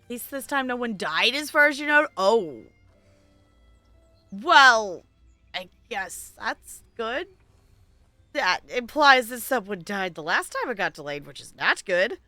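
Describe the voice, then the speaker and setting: Female game streamer: bright, slightly high voice, chatty off-the-cuff commentary for viewers, light music behind. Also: big pitch swings, measured pace, no echo. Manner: chatty, informal, talking to viewers. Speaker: game livestreamer. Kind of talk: casual live gameplay commentary and chat.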